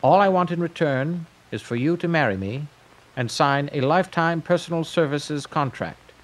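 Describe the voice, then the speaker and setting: A man playing a grandiose, eccentric tycoon pitching his pleasure empire in a declamatory audio drama monologue. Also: normal speed, close to an everyday voice, low-quality recording. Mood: commanding, courting.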